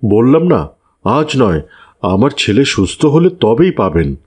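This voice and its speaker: A man voices a stubborn landlord in a cartoon. His mid-pitched voice moves up and down in a very lively way. He sounds proud, firm and skeptical. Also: measured pace, breathy edge. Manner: firm, indignant. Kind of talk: dramatized cartoon dialogue.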